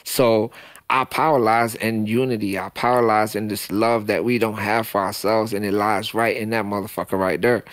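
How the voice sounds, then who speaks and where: A man's voice: lowish voice, fairly even intonation, moderate pace, no room sound. A man from Haiti, a guest in a small podcast studio, talking on a studio mic in a passionate, profane, preachy way. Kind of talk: casual interview talk. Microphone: studio mic on boom.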